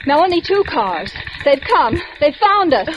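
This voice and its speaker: A prim, crisp-spoken heroine. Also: female voice, sits high, big pitch swings, measured pace, lo-fi sound, noisy background. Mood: tense. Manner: crisp precise diction. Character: prim bookish woman, later bold. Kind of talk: 1940s radio acting. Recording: old radio broadcast with music.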